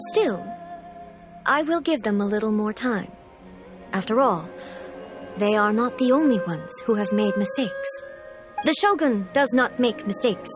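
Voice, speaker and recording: A woman playing a stern, dignified immortal ruler in a video game, speaking in a formal, measured, composed way. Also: on the high side.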